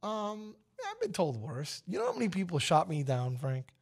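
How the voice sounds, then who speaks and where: A man with a raspy voice and a New York accent, hosting a morning radio show and bantering in a teasing, animated way. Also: sits high, moderate pace.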